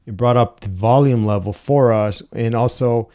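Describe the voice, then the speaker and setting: A young man giving a casual software tutorial, talking through each step with frequent "uh" and "um" fillers, on a lapel mic. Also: mid-range voice, moderate pace. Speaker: video editing tutor. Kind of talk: screen-recorded software tutorial. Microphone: wireless lapel mic.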